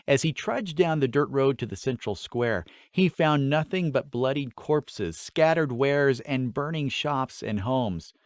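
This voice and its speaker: A man's voice: mid-range voice, expressive, unhurried, few pauses, no room sound, studio quality. A man reading a scripted fantasy story as an audiobook narrator, with an earnest, dramatic delivery.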